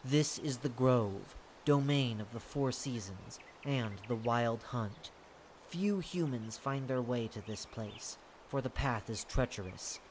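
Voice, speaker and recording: A performer voicing a commanding, gracious fae monarch in a formal, measured radio-play manner. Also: a little hiss or hum, lightly acted. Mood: grave, courteous. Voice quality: deep.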